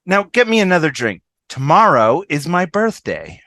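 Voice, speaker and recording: A man's voice: on the high side, huge pitch swings, moderate pace, a bit bright, no echo, clean studio sound, full character voice. A man in an amateur table read over a video call, playing a brash, selfish, sarcastic woman with snarky emphasis. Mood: sardonic.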